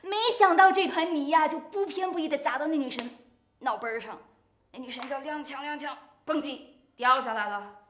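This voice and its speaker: A woman with a strong Mandarin Chinese accent playing an actress in a rehearsal, telling a lively folk tale in Mandarin. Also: high voice, brisk, reverberant, a little hiss or hum. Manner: animated folk storyteller in Mandarin. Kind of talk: short film acting.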